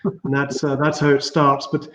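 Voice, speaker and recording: A man's voice: medium pitch, monotone, moderate pace, fairly bright tone, a little hiss or hum. A middle-aged man from Scotland with a Scottish accent, speaking as a winemaker guest on a video call with a dry, self-deprecating humor. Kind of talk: casual video call interview. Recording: roomy, distant, dull video call audio.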